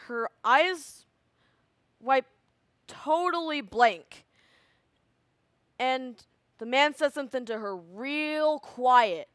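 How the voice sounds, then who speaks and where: An anxious, animated school student tells a story in a high voice, performed by a young woman. The monologue is conversational yet emphatic, broken by dramatic pauses. The recording is dry. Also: no echo, heavily acted. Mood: uneasy suspense. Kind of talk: theatrical monologue.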